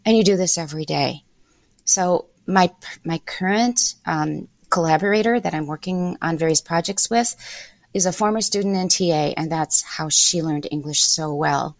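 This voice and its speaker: A woman's voice: fairly low pitch, normal speed, no echo. An older woman, an English teacher, giving emphatic advice in an interview and repeating points for stress. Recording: dull lo-fi dry sound.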